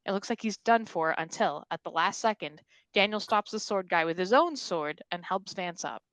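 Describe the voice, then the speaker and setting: A woman's voice: medium pitch, expressive, moderate pace, fairly bright tone, no room sound. A woman narrating action and stage directions from a script at a virtual table read.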